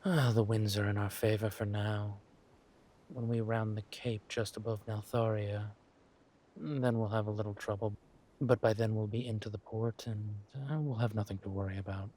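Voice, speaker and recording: A man playing a flirtatious, smug villain king in a roleplay audio drama, talking to his spouse in a husky, teasing, languid way. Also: on the low side, steady tone, warm tone, close to an everyday voice. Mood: flirtatious, relaxed. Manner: teasing, languid, amused, drawn-out phrasing with murmured asides.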